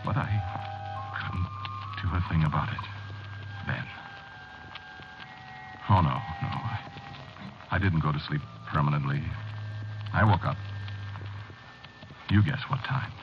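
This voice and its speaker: An actor playing an anxious, haunted office man, confiding haltingly in an old-time radio horror drama. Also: very deep voice, lively intonation, exaggerated voice. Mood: brooding, haunted. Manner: halting, brooding, confiding in the listener. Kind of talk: old-time radio horror acting, first-person narration. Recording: dull old radio drama recording, music behind.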